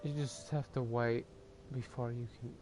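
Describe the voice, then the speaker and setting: A man's voice: medium pitch, fairly even intonation, music in the background. A man casually commenting while playing a video game, thinking aloud as he goes. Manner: slow, musing, thinking aloud. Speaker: gamer. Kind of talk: casual let's-play commentary.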